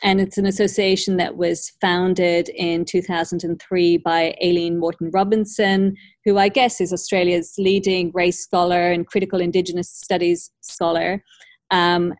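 A woman who grew up in Ireland, hosting an academic conversation in a thoughtful, measured way with frequent hedges. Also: on the low side, darkish tone, no room sound. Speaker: podcast host.

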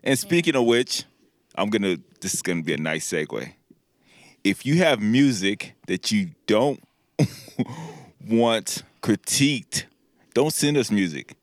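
A man's voice: on the low side, very animated, slow pace, pauses often, no room sound, clean studio sound. A young man co-hosting a podcast in casual chat, laid-back and rambling, trailing off into fillers. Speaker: podcast co-host. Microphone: studio mic.

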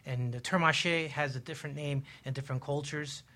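A man on a talk show panel, explaining in a measured, didactic way with examples. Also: on the high side, fairly even intonation, pushed and bright.

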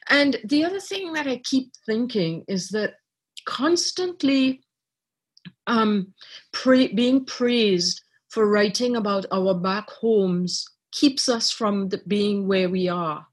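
A middle-aged woman from Trinidad, a writer and artist, talking reflectively in an interview with many pauses and restarts. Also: medium pitch, slightly rough. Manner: thoughtful, many fillers and restarts.

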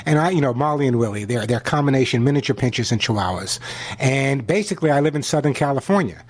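A man hosting a call-in radio pet show, from New York, talking fast and repeating himself as he pitches products and gives advice. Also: animated. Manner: rapid, repetitive sales pitch and chatty advice.

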